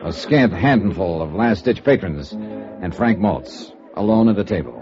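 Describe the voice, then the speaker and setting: An actor playing a wry, hard-boiled investigator, clipped and probing, in 1950s radio drama style. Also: male voice, low voice, animated, measured pace, a little mellow. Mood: wry, scene-setting. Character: wry, hard-boiled male investigator narrating his case. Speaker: lead detective. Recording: muffled old radio recording, music behind. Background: music.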